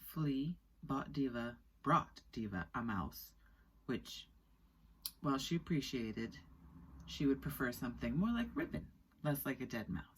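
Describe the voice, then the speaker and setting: Very low-voiced woman reading to children. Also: sing-song, measured pace, slight background noise, low-quality recording. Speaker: storyteller reading a children's book. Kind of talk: expressive read-aloud for children. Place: small indoor room with skylight.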